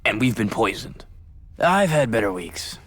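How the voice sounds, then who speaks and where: A man's voice: on the low side, sing-song, unhurried, fairly bright tone. A man playing a tough young combat racer in a video game, terse and stoic. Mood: grim. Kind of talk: video game cutscene acting.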